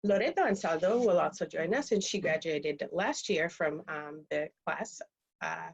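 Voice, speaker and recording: A woman's voice: low voice, expressive, moderate pace, a little hiss or hum. A woman moderating a panel on a video call, reading out speaker introductions with frequent ums. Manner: reads bios steadily, with frequent ums. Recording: dull, lo-fi call audio.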